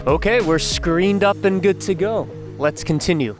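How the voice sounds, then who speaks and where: A man's voice: high-pitched, normal speed, over loud music. Congested young guy vlogging outdoors. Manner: chatty, joking asides to viewers. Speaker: vlogger walking across a state. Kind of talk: casual walking vlog. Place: outdoors by roadsides.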